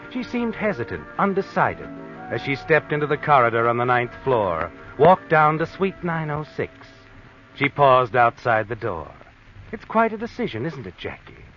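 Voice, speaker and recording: An actor playing an eerie all-knowing American narrator of a vintage radio mystery, speaking in an ominous, taunting way. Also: male voice, mid-range voice, natural voice. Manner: knowing, taunting, dramatic swoops and pauses. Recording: dull vintage radio recording with music.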